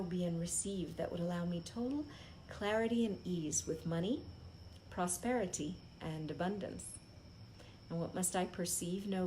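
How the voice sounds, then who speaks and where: A woman's voice: lowish voice, dark tone, poor audio. Woman reading aloud over background noise. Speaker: host reading a book aloud on a live stream. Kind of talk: impromptu read-aloud of a self-help book.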